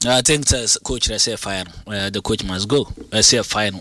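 A man discussing football as a pundit, halting and stammering as he mixes languages. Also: fairly low pitch, sharp tone, dry recording. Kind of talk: heated sports discussion.

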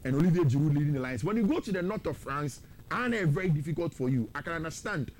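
A man's voice: on the high side, expressive, fast, a little mellow. A man with a Ghanaian accent giving opinionated, conversational sports preview commentary as a football pundit, slipping into local-language phrases.

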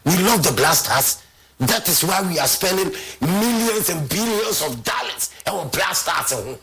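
A man's voice: a little high, expressive, a little slow, pushed and bright, faint music behind. A Ghanaian man from Ghana delivering a heated, scornful rant as a football fan commentator, repeating himself often. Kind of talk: angry rant.

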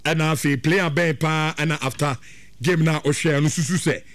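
Ghanaian male sports host, raised pitch, dry studio voice, repeats himself. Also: fairly even intonation, moderate pace, fairly bright tone, no echo. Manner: mixes Twi and English, repeats phrases. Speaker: radio sports show host. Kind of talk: casual sports chat.

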